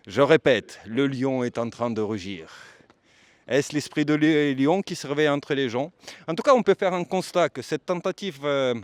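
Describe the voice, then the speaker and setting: A man's voice: fairly high pitch, no echo. A man working as a street interviewer, asking questions politely and formally and giving his commentary. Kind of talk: vox pop interviewing and commentary.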